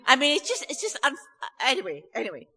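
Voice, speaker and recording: A nervous, chatty woman with a Scottish accent talks to an audience before her song. Her voice is bright and forward. The hall gives it a long, ringing reverb. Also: high-pitched, wildly swooping pitch, fast talker, breathy edge. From Scotland. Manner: nervous, chatty and self-deprecating, with laughs and restarts. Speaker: festival singer introducing a song. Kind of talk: chatty stage talk to an audience. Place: church hall. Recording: live recording in a reverberant hall.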